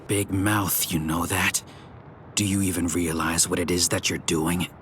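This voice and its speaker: Menacing scornful anime game officer, deep voice, noisy rumble in the back. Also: male voice, little pitch movement, a little slow.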